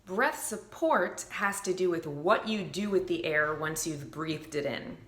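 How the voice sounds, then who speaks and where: A woman coach teaching singing basics in a bright, ringing voice. Her delivery is clear and upbeat, sometimes breaking into brief sung notes to show what she means. The room adds a roomy echo around her. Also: on the low side. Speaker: vocal coach. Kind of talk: instructional video.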